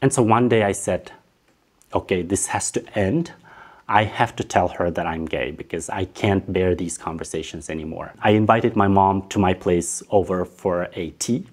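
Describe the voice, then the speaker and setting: A man's voice: deep voice, moderate pace. A man giving a candid personal testimony, telling his story and acting out remembered dialogue. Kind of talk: personal life testimony to camera.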